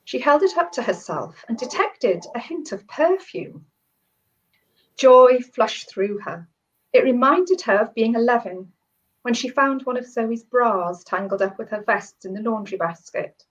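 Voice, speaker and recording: A woman whose voice is husky and croaky from a cold. She talks in a chatty way, with small laughs and restarts, and reads her story aloud. The sound is dull and lo-fi, like a video call. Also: on the high side, expressive, a little slow. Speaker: short story author and guest. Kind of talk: casual chat, then reading her story aloud.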